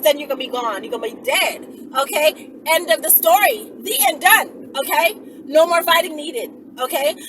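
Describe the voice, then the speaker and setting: A woman's voice: high voice, recorded from a distance. A woman rambling casually in an emphatic, profane storytelling manner, like a vlogger talking to followers. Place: inside a car while driving. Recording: noisy car, voice away from mic.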